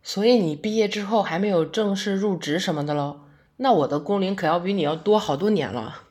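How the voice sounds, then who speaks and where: A woman's voice: medium pitch, a little fast, darkish tone, lightly acted. A woman acting a friendly, reflective working woman, a young adult theatre fan, in conversational, naturalistic audio drama dialogue. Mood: friendly, curious.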